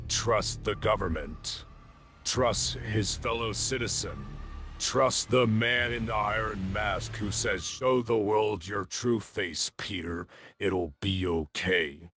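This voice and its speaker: An actor playing an imposing, gloating crime lord in a comic-book audio drama, with an eloquent, theatrical, contemptuous delivery. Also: male voice, lowish voice, slow pace, a bit bright. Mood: mocking. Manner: theatrical, grandiloquent, taunting. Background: music.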